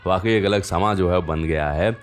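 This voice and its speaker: A man's voice: deep voice, normal speed. A man hosting a radio show, chatting to listeners and telling anecdotes in a conversational way with frequent asides. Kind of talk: conversational radio presenting and storytelling between songs.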